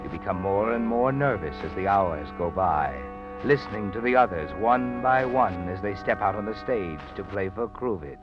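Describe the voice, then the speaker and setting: A man's voice: on the low side, expressive, normal speed, music in the background, lightly acted. An actor playing an ominous, all-knowing narrator in old radio suspense, insinuating and dramatic as he addresses the hero. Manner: dramatic, insinuating, second-person telling. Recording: dull old radio recording, musical backing.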